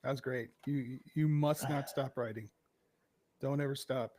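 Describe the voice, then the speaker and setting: A man co-hosting a casual podcast, loud, with quick exclamations and swearing. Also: medium pitch, steady tone, slight background noise. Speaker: podcast co-host. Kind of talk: casual chat.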